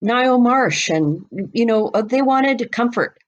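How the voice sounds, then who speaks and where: A woman's voice: on the high side, lively intonation, slow pace, muffled recording. A woman speaking as an expert guest in a podcast interview, explaining things in an emphatic, conversational way.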